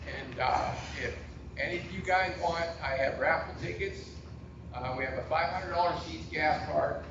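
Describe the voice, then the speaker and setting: A man's voice: medium pitch, highs cut off. Rambling man speaking from afar in a hall. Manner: casual, rambling, self-deprecating. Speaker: fundraiser at a meeting. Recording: distant, echoey, noisy hall recording.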